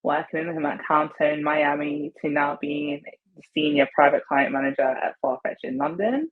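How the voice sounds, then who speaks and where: A woman's voice: low-pitched, moderate pace, phone-quality sound. A woman hosting a career podcast, chatting enthusiastically with her guest over a video call. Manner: enthusiastic, effusive. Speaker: podcast host. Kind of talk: casual interview chat. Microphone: earbuds on a video call.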